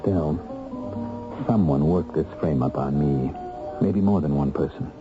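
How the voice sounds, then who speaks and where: A deep-voiced, embittered ex-convict husband in a radio play. He speaks earnestly and methodically, set on clearing himself. The recording is dulled and old, with music in it. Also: measured pace, narrow, tinny audio. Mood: determined. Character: bitter, determined wronged bookkeeper, freed convict husband. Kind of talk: radio drama acting.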